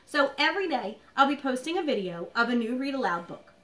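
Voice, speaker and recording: A woman with a high voice and very lively intonation, speaking as a schoolteacher to young children. She has an expressive, deliberate storytime delivery, as in a read-aloud made at home. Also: low-quality recording. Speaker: elementary school teacher reading a picture book aloud. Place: living room at home.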